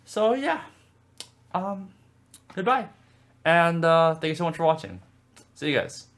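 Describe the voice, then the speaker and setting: A man's voice: a little high, very animated, unhurried, a bit bright. A young man casually talking through his game progress in a rambling, upbeat way, with many fillers and restarts. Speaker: gaming video creator. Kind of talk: casual game commentary vlog.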